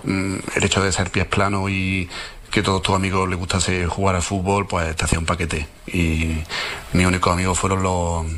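A man from southern Spain with an Andalusian accent and a slightly raspy voice, speaking calmly and wryly as a guest on a radio show. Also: deep voice, normal speed, slight background noise. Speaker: anonymous culture social media creator, guest. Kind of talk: radio interview.

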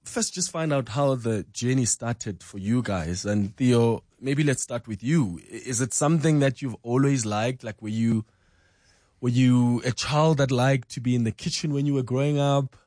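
A man's voice: medium pitch, moderate pace, a little mellow, no echo. A man hosting a morning studio interview, asking questions in a friendly, encouraging way. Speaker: radio host. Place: radio studio.

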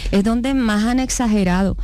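A woman's voice: on the high side, normal speed, crowd noise, close to an everyday voice. A woman playing a brash young woman who fakes a diploma, in a radio comedy sketch, cursing and indignant. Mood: brazen. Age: young adult. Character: brash young woman caught faking a diploma, shameless and self-pitying.

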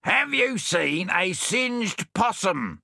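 A man voicing a blunt, hot-tempered male adventurer who yells when he gets impatient. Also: a little high, sing-song, moderate pace, creaky, slightly rough, no echo, studio quality, pitched above the performer's own voice, exaggerated voice. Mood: impatient, roaring. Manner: loud, impatient.

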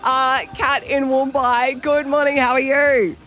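A woman's voice: high voice, flat delivery, a little slow, fairly bright tone. A woman hosting breakfast radio, upbeat and playful, speaking with a cold. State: has a cold. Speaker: radio host. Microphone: studio mic.

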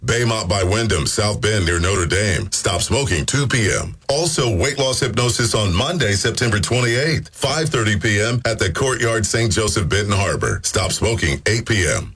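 A man reading a radio advertisement with urgent, punchy delivery. His voice is fairly low and has a husky edge to it. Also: fairly bright tone. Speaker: ad announcer. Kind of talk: scripted radio ad read.

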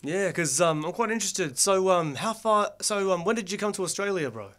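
A man's voice: high voice, animated, fairly quick, fairly bright tone. A man hosting a radio interview in a casual, hesitant way, full of fillers and restarted questions. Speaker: radio host.

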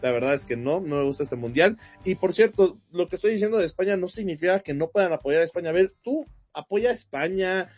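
A Mexican man with a Mexican Spanish accent, co-hosting a casual sports chat show, talking in an animated, mocking way and often laughing. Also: high-pitched, fast, hardly any pauses, breathy edge, highs cut off, faint music behind. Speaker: show co-host.

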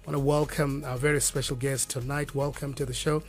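A man's voice: medium pitch, flat delivery, a little fast. Upbeat male radio host. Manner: upbeat, with fillers and restarts. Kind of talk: radio talk show hosting.

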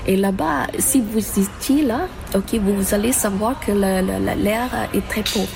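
A woman from the Philippines, answering an interview at a climate event in halting speech full of fillers and restarts. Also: medium pitch, vocal fry. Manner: halting, many fillers and restarts, mixes in English terms. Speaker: conference participant.

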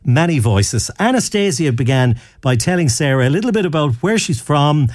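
A man's voice: fairly high pitch, lively intonation, moderate pace, darkish tone, no room sound, clean studio sound. A man with an Irish accent presenting a chatty local radio show.

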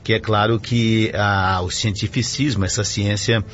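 A man's voice: on the low side, steady tone, measured pace, a bit bright. Chatty male radio pastor, lo-fi sound. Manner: chatty, explanatory, often adds "né?". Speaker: radio program host and pastor. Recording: lo-fi radio broadcast with faint music.

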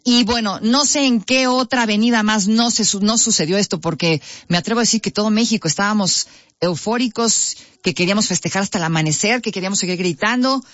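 A woman with a Mexican accent hosting a radio news show, lively and chatty with her reporter. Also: mid-range voice, a little fast, no room sound, lo-fi sound.

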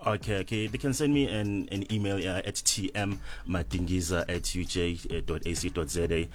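A man from South Africa speaking as a language lecturer guest on a radio show, answering in a measured way with fillers and repeated words. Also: low voice, fairly even intonation, a little hiss or hum.

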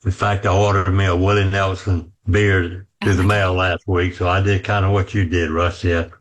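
Man, baritone, very low voice, friendly, rambling, talk show guest. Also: flat delivery, moderate pace. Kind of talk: casual radio show chat.

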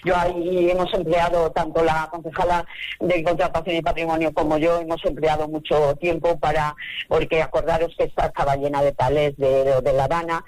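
A woman with an accent from Spain, giving a halting, rambling interview answer as a councillor. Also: sits low, flat delivery, darkish tone, no room sound, low-quality recording. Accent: Spanish from Spain. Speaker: city councillor.

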